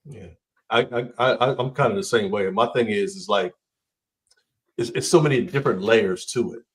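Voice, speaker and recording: A man co-hosting a casual podcast discussion, talking in a measured way and repeating words as he starts. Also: on the low side. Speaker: podcast co-host.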